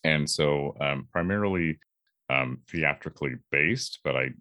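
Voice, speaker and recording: Very deep, slow-talking man. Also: bright, forceful tone, no room sound. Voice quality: very deep bass timbre. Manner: halting, thoughtful, measured. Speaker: voice actor, interviewee. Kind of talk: reflective interview talk.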